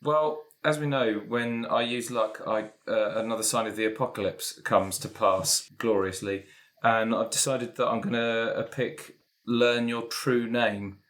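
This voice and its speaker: A man's voice: mid-range voice, fairly even intonation, unhurried, a bit bright. A man playing a tabletop role-playing game, improvising his character's story in a hesitant, think-aloud way. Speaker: player in a role-playing podcast.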